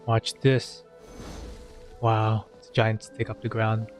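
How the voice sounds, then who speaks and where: A man's voice: lowish voice, monotone, takes it slowly, soft background music. A man giving casual gameplay commentary as host of a gaming video.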